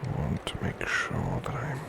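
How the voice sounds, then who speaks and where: A man playing a devoted, tender servant in an intimate ASMR roleplay, murmuring sleepily and half whispering, with loud noise behind him. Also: measured pace, close to an everyday voice. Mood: sleepy, tender. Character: devoted, tender male servant cuddling his beloved. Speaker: ASMR voice actor.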